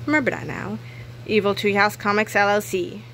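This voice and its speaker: Bright-voiced woman reading a children's book. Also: mid-range voice, brisk. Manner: expressive, acts out dialogue and sound effects. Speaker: book reader. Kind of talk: children's book read-aloud. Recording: clearly noisy home recording.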